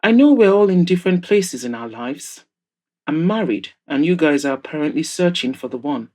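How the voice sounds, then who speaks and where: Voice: mid-range voice, animated, moderate pace, darkish tone, natural voice. Audio drama role: plain-spoken husband read by a narrator, matter-of-fact, candid friend, mid-range voice. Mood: frank, teasing. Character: matter-of-fact married man, frank friend. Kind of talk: audiobook-style character dialogue.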